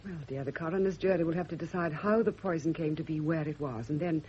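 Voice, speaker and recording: An actress playing a controlled, genteel woman friend in a vintage radio drama, speaking in a measured, soothing way. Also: low-pitched, warm tone, lightly acted. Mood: grave, careful. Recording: dull, hissy vintage radio recording.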